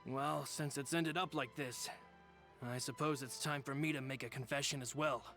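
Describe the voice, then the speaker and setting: An actor playing a loyal, polite male aide in a video game, speaking gently and courteously. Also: medium pitch, steady tone, normal speed, faint music behind, close to an everyday voice. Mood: reassuring.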